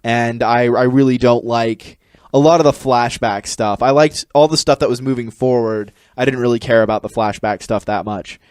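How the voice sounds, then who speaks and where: A man's voice: mid-range voice, normal speed, darkish tone, clean tone, dry recording, clean studio sound. A man co-hosting a podcast in a casual, rambling discussion style. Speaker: podcast co-host.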